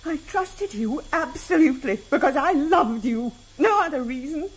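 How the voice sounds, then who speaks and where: An actress in a vintage radio drama playing a loving, betrayed wife, speaking haltingly with pleading emotion. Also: female voice, high voice, animated, poor audio. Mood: hurt, pleading.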